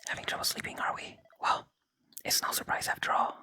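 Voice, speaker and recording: A gaming host whispering softly in ASMR style close to the microphone, reading game dialogue and commenting on play. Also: a little fast. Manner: unvoiced, gentle whispering throughout.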